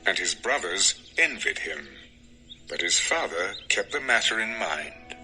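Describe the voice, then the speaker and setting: A man's voice: low voice, sing-song, a little slow, bright, forceful tone, rough recording. A man narrating a dramatized Bible story as a solemn, scripted storyteller. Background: music.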